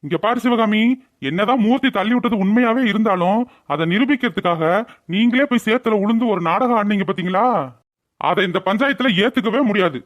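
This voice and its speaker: A man's voice: sits high, fairly quick, lo-fi sound, lightly acted. A man voicing a stern village council headman in a comedy cartoon, with speech and an admonishing, colloquial manner. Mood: stern judgment.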